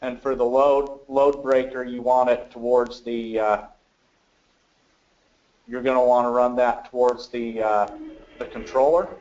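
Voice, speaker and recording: A man attending a webinar, casually asking and answering questions in a halting way. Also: mid-range voice, level tone, darkish tone. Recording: dull lo-fi remote audio.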